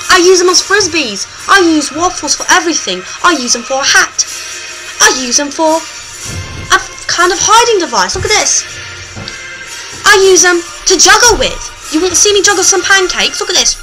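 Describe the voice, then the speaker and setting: A woman playing a boastful, goofy British male show host with an exaggerated British accent, presenting a comic vlog in a hammy, excitable, rambling way. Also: extremely high pitch, moderate pace, over music, low-quality recording. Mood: excitable, silly.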